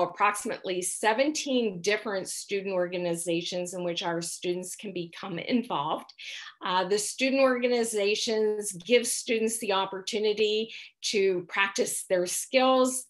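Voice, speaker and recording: A woman moderating an online panel on a video call, speaking in a measured, formal and explanatory manner. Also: mid-range voice, fairly bright tone.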